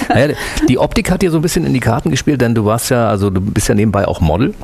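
A man's voice: mid-range voice, racing, vocal fry, a little mellow, slight background noise. A man hosting a conversational radio interview, chatting with his guest.

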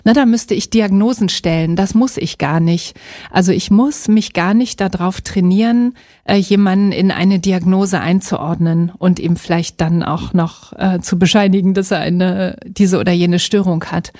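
A middle-aged woman from Berlin, a psychologist answering interview questions in a thoughtful, measured way with small pauses and soft laughs. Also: on the low side, fairly even intonation, no echo, studio quality. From Berlin, Germany.

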